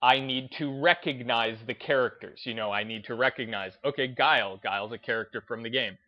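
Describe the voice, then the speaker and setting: A man hosting a gaming video essay, speaking in an emphatic, deliberate, unscripted way and repeating phrases to drive his points home. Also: medium pitch, lo-fi sound. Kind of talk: opinionated commentary, unscripted talk to listeners.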